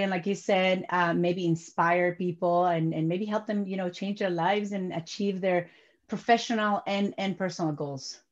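Woman from Peru on a video call. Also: on the low side. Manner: animated, repeats words while building sentences. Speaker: guest. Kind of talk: interview over video call. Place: home office. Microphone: webcam on video call. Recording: dull lo-fi video call audio.

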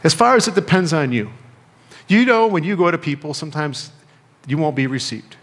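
A middle-aged American man preaching a sermon as a pastor, speaking in measured phrases with pauses and repetition. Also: medium pitch, fairly bright tone, slightly rough. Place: church sanctuary, indoors at a lectern. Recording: reverberant church hall.

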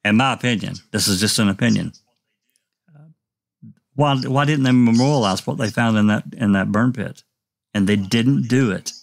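A man's voice: fairly low pitch, normal speed, gravelly, long pauses, no room sound. A man hosting a casual online panel discussion, reading viewer comments aloud and talking through them with many fillers. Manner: reads viewer chat aloud, hedging with fillers. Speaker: livestream discussion host.

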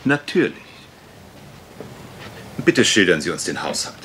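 Casting: pompous, charming private detective, lower-pitched with lively swoops, courteous, for a radio mystery. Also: male voice, a little slow, slight background noise, exaggerated voice. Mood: attentive, probing. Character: suave, theatrical gentleman private detective with French affectations. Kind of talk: German radio mystery play acting.

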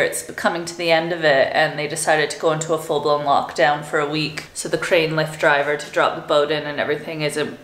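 A young woman vlogging to a selfie camera at arm's length, chatty and joking as she narrates her day. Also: lowish voice. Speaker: sailing vlogger. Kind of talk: casual vlog chat.

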